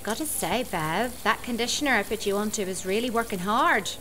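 A village hairdresser in a radio soap. She speaks frankly and conversationally at a middling pitch, with a dry, wry edge. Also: animated, a little fast, sharp tone, slight background noise, low-quality recording. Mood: candid. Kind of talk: radio soap opera acting.

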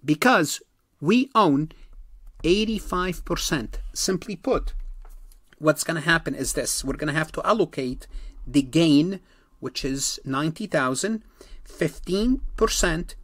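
Male accounting lecturer, slightly high voice, emphatic explainer who repeats key points, dry close recording. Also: dry recording. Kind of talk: exam-prep lecture explaining worked examples.

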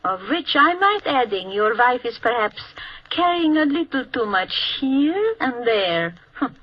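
Haughty seductress for radio play: high, swooping, theatrical, dull vintage recording. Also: female voice, sing-song, measured pace, heavily acted. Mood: haughty, flirtatious. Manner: theatrical, haughty, broken English. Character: Olga, brazen flirtatious foreign woman intruder. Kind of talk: 1950s radio drama acting. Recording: muffled, husky-sounding old radio recording.

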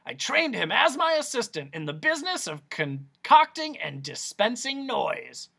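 A man's voice: very high-pitched, very animated, unhurried, vocal fry, bright, forceful tone, voice pushed up, heavily acted. A man voicing Doctor Discord, a fussy, eccentric male doctor who lectures theatrically. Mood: explaining.

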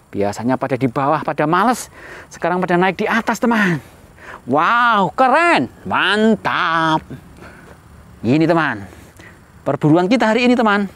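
Animated high-pitched man, playful vlogger. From Indonesia. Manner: exclamatory, playful, asks the viewers frequent questions. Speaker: host of a children's toy-hunt video channel. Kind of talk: excited toy-hunt vlog narration addressed to viewers.

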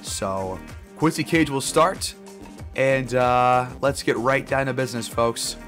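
A slightly hoarse man talks through a basketball video game in a loose, easygoing way, with plenty of ums and uhs. His pitch sits mid-range for a man. Game music plays clearly under his voice. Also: slow. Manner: relaxed, filler-heavy talk, louder and livelier calling plays. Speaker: gaming YouTuber. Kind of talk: casual gameplay commentary.

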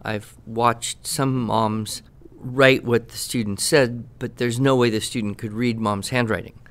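A man in casual podcast talk, giving teaching advice in a measured, deliberate, dryly playful way. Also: mid-range voice, fairly even intonation, a bit bright. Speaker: podcast guest expert answering questions.

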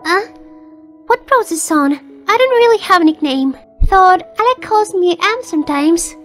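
An excitable, friendly teenage girl from a comic dub. Her voice is tiny and extremely high, bouncing eagerly up and down. Soft music plays underneath. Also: measured pace, exaggerated voice. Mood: cheerful. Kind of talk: animated comic dub acting. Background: faint music.

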